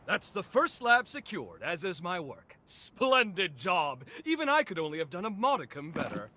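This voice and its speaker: An actor voicing a pompous, eccentric scientist in a video game, with florid, condescending, theatrically polite speech. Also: male voice, sits high, big pitch swings, moderate pace, pushed and bright, full character voice. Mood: smug, pleased. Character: eccentric, self-important revenant scientist, a theatrical lecturer. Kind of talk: video game cutscene acting.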